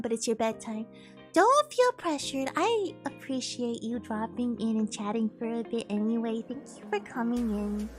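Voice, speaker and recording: Bubbly high-voiced woman streamer. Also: a bit bright. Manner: bubbly, upbeat, with playful bits of singing. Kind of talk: casual chat with stream viewers. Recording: faint music behind.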